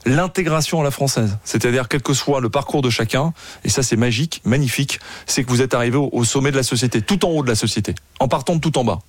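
A man's voice: mid-range voice, fast talker, dry recording. A man hosting a studio interview, asking playful, teasing questions in a casual tone. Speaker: host.

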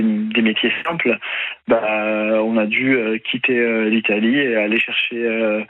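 A man's voice: mid-range voice, no echo. Hesitant young man on tinny radio. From Italy. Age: young adult. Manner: hesitant, many fillers and restarts. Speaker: interview guest. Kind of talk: radio interview. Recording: dry tinny phone-like radio sound.

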